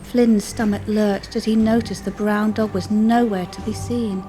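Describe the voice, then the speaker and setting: A woman's voice: medium pitch, fairly even intonation, a little slow, over music. A woman storyteller reading a scripted children's story as narration.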